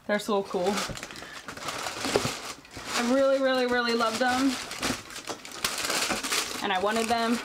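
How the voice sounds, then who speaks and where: A woman's voice: fairly high pitch, takes it slowly, long pauses. A woman in her thirties chatting casually as she tidies her beauty shelves indoors, moving toward and away from the camera mic. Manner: chatty, rambling, thinking aloud while tidying. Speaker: beauty YouTuber. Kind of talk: casual cleaning vlog chat. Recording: noisy room tone with clicks.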